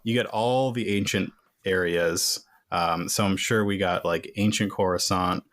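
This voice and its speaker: A man's voice: low-pitched, steady tone, unhurried, fairly bright tone. A man playing a tabletop role-playing game, chatting casually as he works out his moves.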